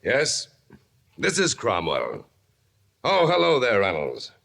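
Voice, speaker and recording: A middle-aged tycoon father in an old-time radio drama. He is breezy and self-important, tossing off clipped remarks. His medium-pitched voice swings widely. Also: wildly swooping pitch, slow, creaky, fairly bright tone, full character voice.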